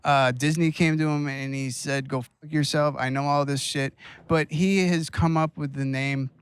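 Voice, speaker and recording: A man's voice: on the high side, steady tone, normal speed, clear, resonant tone, no echo. A man in a group debate making a blunt, direct point.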